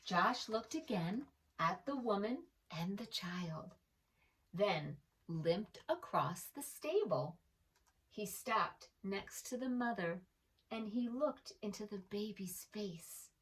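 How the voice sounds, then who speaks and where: Woman, children's read-aloud, slow pace, very lively intonation, slightly distant microphone. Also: mid-range voice. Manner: slow, animated, addresses the young listener with questions. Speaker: storyteller reading a picture book to preschoolers.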